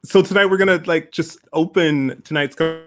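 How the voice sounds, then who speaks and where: A man's voice: fairly high pitch, moderate pace. A man co-hosting an online event in casual, loosely phrased conversational talk. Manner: informal, loosely phrased with frequent "like". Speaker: festival founder and co-host. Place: online video call.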